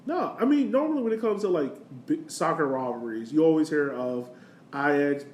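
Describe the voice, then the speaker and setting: A man's voice: fairly high pitch, animated, a little fast, a little mellow. An American man hosting a casual sports podcast, chatting informally with plenty of slang. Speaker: podcast host. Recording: roomy echo, background noise.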